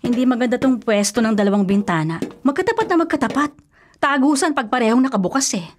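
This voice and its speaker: High-pitched fussy Filipina bride-to-be. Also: female voice, expressive, fast talker. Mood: skeptical, fussy. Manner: picky, questioning, blunt. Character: fussy, skeptical, superstitious bride-to-be. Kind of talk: Filipino radio drama acting.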